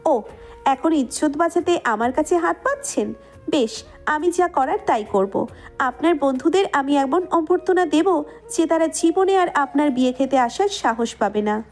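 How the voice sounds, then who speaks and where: A woman playing an angry, sharp-tongued young bride in an audio story, scolding and defiant. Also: sits high, lively intonation, moderate pace. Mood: sarcastic, defiant. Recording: music behind the voice.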